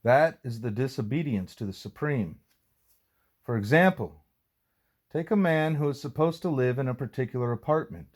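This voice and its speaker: A man narrates a religious text in an audiobook style. His voice sits at an average male pitch. He reads formally, with measured and deliberate phrasing that sets each clause apart. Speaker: reader of a spiritual text.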